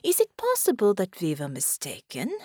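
A bored, haughty alien giantess commander, voiced by a woman narrator. She drawls with hushed, languid contempt, with lively swings in pitch. The sound is close and dry. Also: moderate pace, slightly rough, dry recording, exaggerated voice. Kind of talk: audiobook narration.

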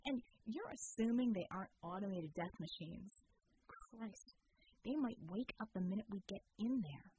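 A woman's voice: mid-range voice, lively intonation, normal speed, mellow, slight background noise, natural voice, rough recording. A woman voicing a sarcastic male rogue from a superhero audiobook, grumbling and scoffing. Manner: sardonic, grumbling.

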